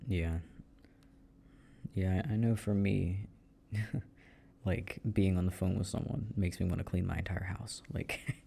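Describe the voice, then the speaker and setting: A man playing a young boyfriend working at his computer, talking quietly in an audio roleplay. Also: slow, gravelly, pauses often, breathy edge, heavily acted. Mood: easygoing. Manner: low muttering while focused on a task; relaxed, friendly chat with a partner.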